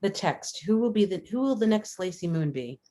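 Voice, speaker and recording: A woman's voice: mid-range voice, lively intonation, fairly quick, mellow. A woman reading screenplay stage directions as scripted narration, matter-of-fact in delivery. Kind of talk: scripted narration, table read.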